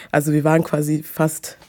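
Slow, low-voiced young Munich woman. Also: little pitch movement, dark tone. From Munich, Germany. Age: 20s. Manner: hesitant, halting, many fillers. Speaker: guest. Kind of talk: personal conversation.

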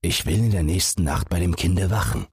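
A man as an earnest, husky fairy-tale king. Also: low voice, brisk, a little mellow, dry recording, clean studio sound, full character voice. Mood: troubled.

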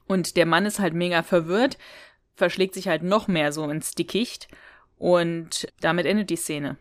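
A woman with a slightly low voice talks casually as a podcast co-host, retelling a story. She is chatty and giggly and slips into quoted lines as she goes. The recording is close and dry. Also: fairly even intonation, unhurried, no echo. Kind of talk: casual chat retelling and reviewing an audio drama episode.

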